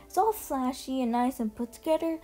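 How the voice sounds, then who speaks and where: A woman giving casual, chatty commentary as a video host, informal and quick to swear. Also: high voice, expressive, warm tone. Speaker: video host ranking game character demos. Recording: music behind the voice. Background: background music.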